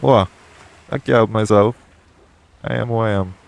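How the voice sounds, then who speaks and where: A man playing a shady, jaded yet tender pirate captain in an intimate audio roleplay, talking colloquially and frankly, with gentle teasing. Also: on the low side, normal speed. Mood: playful, tender. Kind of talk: intimate audio roleplay acting addressed to the listener. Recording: clear background noise, ambient sound bed.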